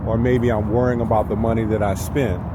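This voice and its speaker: A man's voice: fairly low pitch, flat delivery, a little fast. A man giving an informal, reflective motivational talk while walking outdoors, speaking directly to the listener in a conversational way. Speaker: vlogger giving personal development advice. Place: outdoors, walking near traffic. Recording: clear outdoor traffic and street noise.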